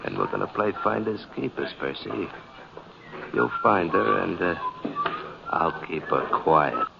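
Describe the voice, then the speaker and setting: Very deep gravelly gangster killer. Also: male voice, sing-song, unhurried, full character voice. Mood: menacing. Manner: curt, tough, threatening. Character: menacing, cold male killer and gang boss. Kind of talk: 1940s radio crime drama acting. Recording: very noisy, muffled old radio recording.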